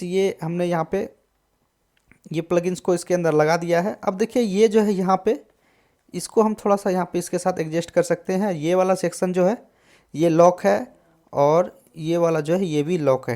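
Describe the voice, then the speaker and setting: A man hosting a casual tutorial on music software, explaining step by step and often adding short check-in tags. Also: sits high. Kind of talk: casual screen-recorded tutorial.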